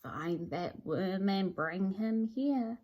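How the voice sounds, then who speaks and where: Menacing storybook lizard wizard played by a woman, slow delivery, bossy, bad-tempered, commanding. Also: medium pitch, lively intonation, dull audio, pitched below the performer's own voice. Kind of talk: storybook read-aloud.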